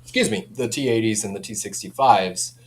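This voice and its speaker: A man's voice: fairly low pitch, a little fast, slight background noise, poor audio. A man speaking as an audio company representative in a casual, informal product chat.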